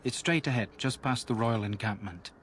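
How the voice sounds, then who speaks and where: A man acting as a helpful camp guard in a fantasy game. He is chatty and folksy at a middling pitch, with faint ambient noise behind him. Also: normal speed, fairly bright tone, close to an everyday voice. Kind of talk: video game character dialogue.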